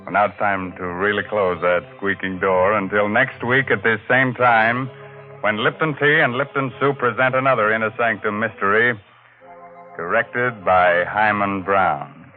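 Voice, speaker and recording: Slow, ghoulish horror-radio host played by a man. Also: on the low side, close to an everyday voice. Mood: mock-sinister. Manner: mock-sinister, teasing, drawn-out delivery. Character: ghoulish, wisecracking male host of horror tales. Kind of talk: 1940s radio acting. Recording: muffled vintage radio broadcast, music behind.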